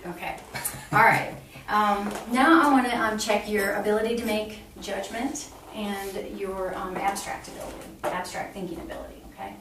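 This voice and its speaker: A woman's voice: medium pitch, fairly even intonation, moderate pace, hardly any pauses. A middle-aged woman giving a clinical exam demonstration as a nursing instructor, explaining each step aloud to the patient. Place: small block-walled exam room. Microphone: camera a few feet away. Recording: roomy, distant camera mic, faint noise.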